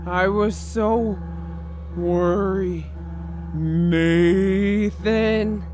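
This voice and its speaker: An actress playing a dazed undead mother in an audio drama, groaning, confused and worried, with halting, drawn-out words. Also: mid-range voice, fairly even intonation, darkish tone, soft background music. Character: dazed zombie mother, confused and worried over her son. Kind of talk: theatrical audio drama acting.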